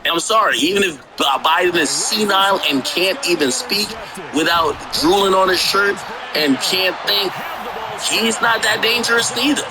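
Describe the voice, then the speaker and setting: Give me a higher-voiced man from Jamaica with a profane, stammering rant outdoors, crowd in the background. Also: normal speed, low-quality recording. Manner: blunt, profane, stammering restarts. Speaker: streamer. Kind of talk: casual political rant.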